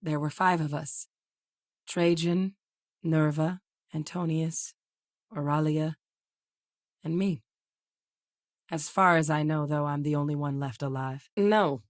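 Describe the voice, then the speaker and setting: A steady, low-voiced commander. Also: female voice, slow pace, long pauses, dry recording, clean studio sound. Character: steady female military commander, earnest. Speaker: game character. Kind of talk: video game voice lines.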